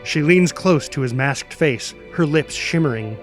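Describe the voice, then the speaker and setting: Higher-voiced man narrating action over music. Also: lively intonation, a little slow. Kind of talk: scripted narration of action stage directions.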